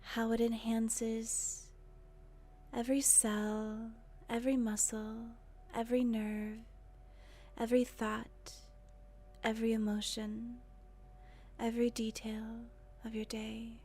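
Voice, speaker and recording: A woman leading a guided meditation in a gentle, breathy voice with long, unhurried pauses. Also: on the high side, level tone. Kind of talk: guided meditation, soothing narration.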